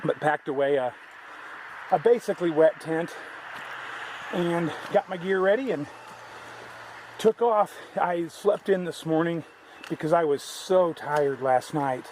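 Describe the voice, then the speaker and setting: A man's voice: a little high, unhurried, pauses often, darkish tone, a little hiss or hum. A man talking casually to his camera outdoors on a hiking trail, rambling and restarting his sentences. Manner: rambling, conversational, many restarts. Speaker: thru-hiker vlogger.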